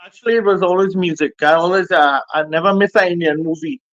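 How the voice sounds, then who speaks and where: A man's voice: sits high, fast talker, a bit bright, no echo, dull audio. A middle-aged man with a Trinidadian accent chatting casually as an interview guest, in simple, repetitive, hesitant phrases. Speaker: interview guest, music producer and DJ. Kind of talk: casual chat, reminiscing. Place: remote call, on a phone.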